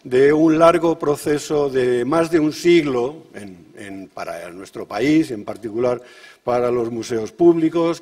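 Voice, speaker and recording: A man with a Castilian Spanish accent giving a welcome speech at a conference in a measured, somewhat halting way, as a museum official. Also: mid-range voice, a little mellow. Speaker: museum official introducing an event.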